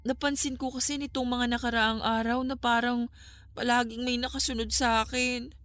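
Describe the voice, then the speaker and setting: A woman's voice: a little high, level tone, normal speed, fairly bright tone, close to an everyday voice. Woman acting a haunted, weary young Filipina. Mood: uneasy, earnest. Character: troubled young woman vlogger, haunted, weary, easily hurt. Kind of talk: Filipino radio drama dialogue.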